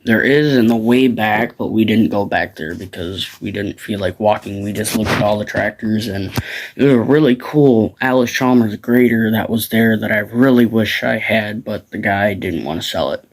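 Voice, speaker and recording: A man's voice: fairly low pitch, moderate pace, rough recording. A teenage boy from the Midwest, rambling casually about farms outdoors at dusk, with lots of fillers and restarts. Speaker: farm vlogger.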